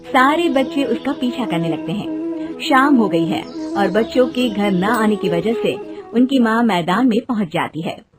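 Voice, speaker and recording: A woman's voice: on the high side, animated, unhurried. A woman narrating a scripted cartoon story as the storyteller. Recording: music behind the narration.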